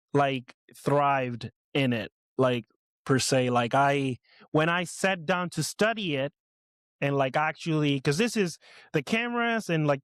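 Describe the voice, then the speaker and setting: A man's voice: on the high side, clear, resonant tone, no room sound, studio quality. A man in his twenties from Puerto Rico, hosting his own podcast in a rambling, nervous way with many fillers and restarts. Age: 20s. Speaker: podcast host. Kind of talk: casual personal monologue.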